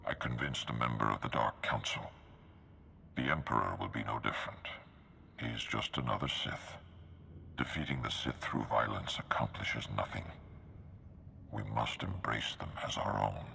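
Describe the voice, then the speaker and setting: A man voicing a venerable, confident and idealistic Jedi master in a video game, measured and solemn with a gravelly voice. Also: a bass voice, slight background noise, heavily acted. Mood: solemn, persuading. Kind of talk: video game cutscene voice acting. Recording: loud music behind.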